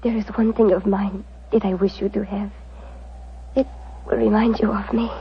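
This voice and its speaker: Casting: woman to play dying Romany fortune-teller, tender and solemn, breathy failing voice; dull 1940s radio sound with light noise. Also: mid-range voice, little pitch movement, natural voice. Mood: tender, fading. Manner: slow, solemn, tender delivery. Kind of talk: 1940s radio drama acting. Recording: muffled, noisy 1940s radio recording.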